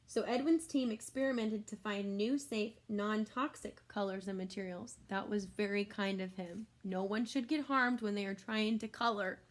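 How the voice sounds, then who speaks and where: A woman's voice: mid-range voice, fairly even intonation, normal speed, few pauses. A woman reading a children's book aloud like a teacher, expressively, with exclamations and simple explanatory asides for young listeners.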